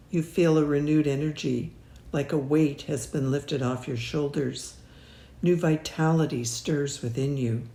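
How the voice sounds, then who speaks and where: A woman narrates a guided meditation at a very unhurried pace. Her tone is soft-edged and mellow, with measured, soothing phrasing and long pauses. The sound is roomy, with a noticeable echo around the voice. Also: low voice.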